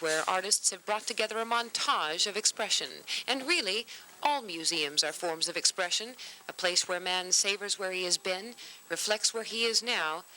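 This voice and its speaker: A woman's voice: mid-range voice, pushed and bright. An American woman narrating a formal, scripted television news report from the 1970s. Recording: faintly noisy 1970s archive news film.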